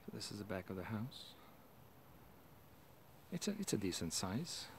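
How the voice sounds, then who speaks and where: A man's voice: on the low side, sing-song, moderate pace, background noise. A man, a realtor, walking through an empty house and pointing out the rooms in a plain, matter-of-fact way. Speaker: realtor giving a home tour. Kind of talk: casual walk-through narration. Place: empty house and its yard, indoors and outdoors.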